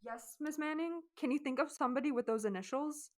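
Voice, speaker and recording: A woman playing a methodical police inspector in a radio drama, speaking in a measured, formal way. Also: high-pitched, close to an everyday voice. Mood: businesslike, probing. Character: methodical, knowing police inspector questioning suspects. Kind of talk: amateur radio drama acting.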